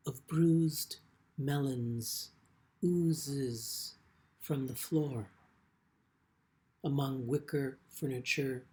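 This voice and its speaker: A man with a mid-pitched voice reads in a literary style, as a poet presenting his own work. His delivery is very slow and measured, with phrases set apart by frequent pauses. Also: a little mellow. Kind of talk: literary poetry reading with brief spoken introductions.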